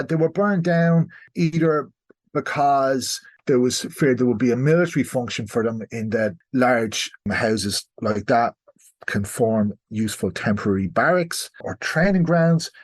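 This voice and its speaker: A man's voice: on the low side, husky, no echo. Man, historian in a dry-sounding recording. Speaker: historian, podcast guest. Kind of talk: informal expert discussion, explaining history.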